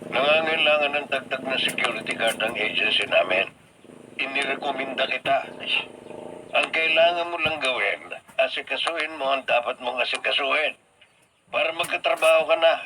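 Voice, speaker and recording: A man's voice: mid-range voice, normal speed, lightly acted. A man playing a stern but caring elderly Filipino father and grandfather in a radio drama. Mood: stern, urging. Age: older. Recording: noisy radio drama broadcast.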